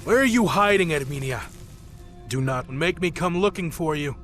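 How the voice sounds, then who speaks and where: Stern soldier, music behind. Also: male voice, fairly high pitch, lively intonation, normal speed, fairly bright tone. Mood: grim. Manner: terse, determined. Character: stern, devout male soldier captain on a mission. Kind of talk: dramatic video game acting.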